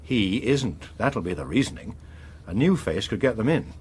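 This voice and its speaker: A scheming senior politician in a political drama. He is polished and unflappable, a man who persuades with condescension. The voice is husky and very varied in melody. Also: lowish voice, very animated, normal speed, darkish tone, heavily acted. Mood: conspiratorial, assured. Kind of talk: British political drama acting.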